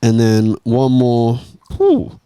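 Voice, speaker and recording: A man's voice: medium pitch, wildly swooping pitch, slow pace, warm tone, clear, resonant tone, dry recording, clean studio sound. A man hosting a casual football podcast, reading out listener comments in a blokey, slangy way. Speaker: podcast host.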